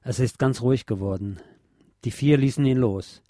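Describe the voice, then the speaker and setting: A man giving a measured, solemn scripted reading of literary prose as narrator, articulating clearly. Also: mid-range voice, warm tone, no echo, clean studio sound. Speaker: narrator and reader.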